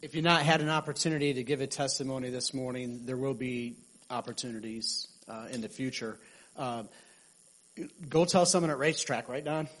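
Man, mid-pitched, casual worship leader, chatty. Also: fairly even intonation, brisk, a bit bright, rough recording. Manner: informal, chatty, laughs easily. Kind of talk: leading a church service. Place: church service.

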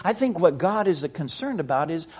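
A man's voice: fairly high pitch, sing-song, moderate pace, a little mellow, breathy edge. A middle-aged man from the United States preaching a sermon in a folksy way, often repeating words and restarting sentences.

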